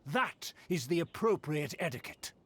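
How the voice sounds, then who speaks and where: An actor voicing a haughty, arrogant aristocrat in a video game, speaking in florid, disdainful and formal phrases. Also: male voice, fairly high pitch, animated, normal speed, a bit bright, husky. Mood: haughty, condescending. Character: haughty, arrogant aristocrat nobleman of declining house. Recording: game music behind the voice.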